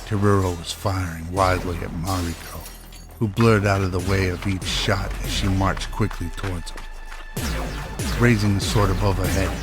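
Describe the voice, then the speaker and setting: A man reading scripted narration for an action-filled radio drama as its storyteller. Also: sits low.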